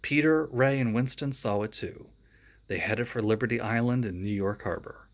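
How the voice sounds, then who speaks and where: A man reads a children's storybook aloud at a medium pitch. He stops now and then for friendly, joking asides aimed at young listeners before picking the story back up. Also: normal speed. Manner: friendly, chatty asides between read passages.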